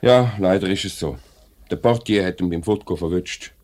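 Male actor as fair-minded middle-aged factory boss, very low voice, Swiss German, polite and deliberate, vintage radio play. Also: darkish tone, close to an everyday voice. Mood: polite, firm. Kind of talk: 1950s Swiss radio drama acting.

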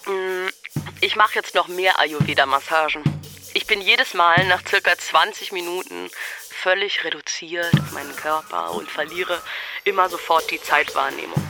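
A man's voice: high voice, steady tone, normal speed, creaky, over music. A man delivering a first-person monologue, half-sung and rhythmic in places. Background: loud hip-hop beat with drums.

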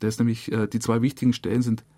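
A man's voice: medium pitch, flat delivery, quick pace, warm tone, no echo. A man speaking conversationally as a director explaining his work in a studio interview. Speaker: radio drama director, interview guest. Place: dry radio studio.

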